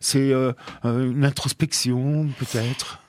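Man, radio host, medium pitch, hesitant, studio interview. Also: fairly even intonation, slow, no room sound. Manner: hesitant, searching for words.

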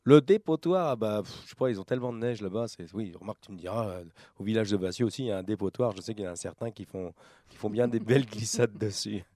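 Male co-host, lowish mellow voice, dry sound, jokey rambling banter. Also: quick pace, no echo. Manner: joking, rambling with fillers. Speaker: radio host. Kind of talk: casual radio banter.

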